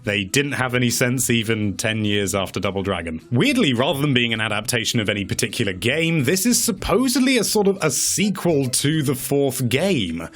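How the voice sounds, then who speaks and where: A man's voice: medium pitch, animated, normal speed, hardly any pauses, a bit bright. A man presenting a countdown-style video in a chatty, casual way, with wry, tongue-in-cheek commentary.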